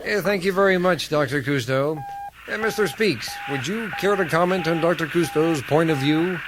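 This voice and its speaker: Mock-news radio comedy: earnest male anchor actor, high voice, formal and put-upon, noisy background. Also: normal speed, close to an everyday voice. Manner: formal, stiffly courteous. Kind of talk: mock live news broadcast, radio theater. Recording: clear background vehicle-like noise.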